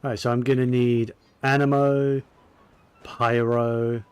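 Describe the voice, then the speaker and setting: A man giving casual gameplay commentary, musing to himself in a low-key way. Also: level tone, slow, smooth, no echo. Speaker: gaming streamer. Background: faint game music.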